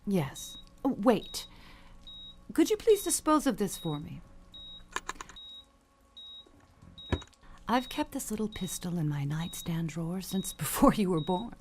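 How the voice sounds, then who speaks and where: Actress as imperious elderly matriarch, swooping low voice. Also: female voice, sing-song, normal speed, darkish tone, slight background noise. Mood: sweetly controlling. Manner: genteel, commanding, condescending endearments. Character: elderly dying perfume mogul mother, imperious, cutting, falsely sweet. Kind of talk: audio suspense drama acting.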